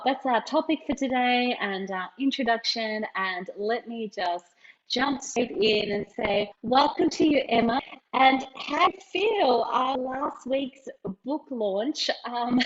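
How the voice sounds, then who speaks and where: A woman's voice: on the high side, unhurried, slight background noise. A woman, hosting a casual podcast and asking her guest questions in an upbeat way. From Australia. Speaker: podcast host. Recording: dull lo-fi audio.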